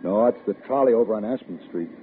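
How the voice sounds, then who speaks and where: A man's voice: on the low side, fast, close to an everyday voice. Man playing a firm, teasing dad, tinny old radio. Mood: indulgent, skeptical. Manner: paternal, mock-formal, gently teasing. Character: patient but firm father, teasing, dismissive of child's worries. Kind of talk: 1950s radio drama acting. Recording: tinny, faintly noisy 1950s radio recording.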